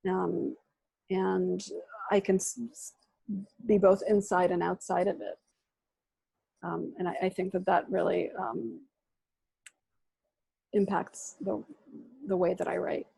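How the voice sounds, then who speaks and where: Husky-voiced woman, Russian native speaker. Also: fairly low pitch, flat delivery, warm tone, no echo, poor audio. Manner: thoughtful, pausing often with "um". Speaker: poet. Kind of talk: reflective answers in an online reading Q&A. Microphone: video call.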